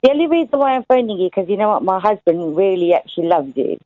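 A woman's voice: medium pitch, sing-song, fast, narrow, tinny audio. A woman in her thirties calling in to a radio show, chatty and effusive. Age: 30s. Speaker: caller. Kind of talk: casual phone-in chat. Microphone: telephone.

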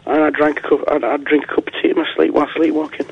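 A Northern English man with a scratchy voice, hoarse from a cold, chatting casually as a phone-in caller. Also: on the high side, level tone, racing, lo-fi sound. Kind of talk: casual chat.

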